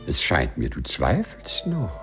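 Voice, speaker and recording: An actor playing a lovestruck, gentlemanly man with formal, hesitant phrasing in a 1950s German radio play. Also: very deep voice, huge pitch swings, a little slow, full character voice. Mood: tender, courtly. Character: lovestruck, gentlemanly man who walks through walls. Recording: 1950s radio recording, loud music behind.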